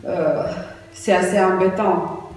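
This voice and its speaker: A non-native French speaker answering interview questions slowly and thoughtfully. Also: mid-range voice, fairly even intonation, darkish tone. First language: not French. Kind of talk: slow, reflective interview answers. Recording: echoey hall, voice from far off.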